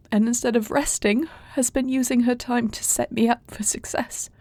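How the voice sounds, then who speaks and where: A woman's voice: on the high side, mellow, dry recording, lightly acted. A woman playing a grieving young warrior princess about to become queen, speaking formally and earnestly in an intimate fantasy audio roleplay. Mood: grieving, troubled. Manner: formal, earnest archaic phrasing.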